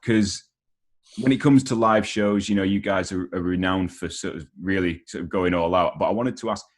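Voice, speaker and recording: A man with a fairly low voice hosts an informal interview. He sounds matey and enthusiastic, putting his questions in loose, slangy phrases. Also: normal speed. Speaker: interviewer, host. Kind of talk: casual interview chat.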